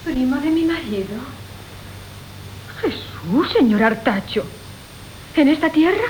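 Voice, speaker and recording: An actress playing a haughty, flirtatious, sharp-tongued widow in a theatrical radio comedy, tart and imperious, with a Castilian accent. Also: female voice, sits high, animated, slow, rough recording. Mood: indignant, tart. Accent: Castilian Spanish. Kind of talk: theatrical Golden Age comedy radio acting. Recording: hissy 1980 radio drama recording.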